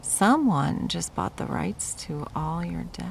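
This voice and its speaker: Female actor as menacing, possessive executive ex for ASMR roleplay; low-pitched, vocal fry, sardonic and condescending. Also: expressive, slow pace, a little hiss or hum, full character voice. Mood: smugly menacing. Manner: condescending, sarcastic, drawling sweet talk. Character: wealthy, possessive corporate executive ex-girlfriend, mocking and menacing. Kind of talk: ASMR roleplay, dramatic monologue.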